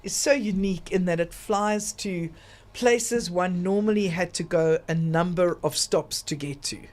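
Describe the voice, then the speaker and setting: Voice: medium pitch, measured pace, a little mellow. A talk show co-host explains travel routes in a casual, chatty way that rambles a little.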